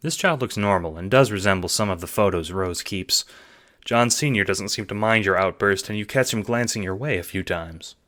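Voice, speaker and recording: A man's voice: fairly low pitch, moderate pace, fairly bright tone. A man narrating scripted second-person fiction for an audio drama.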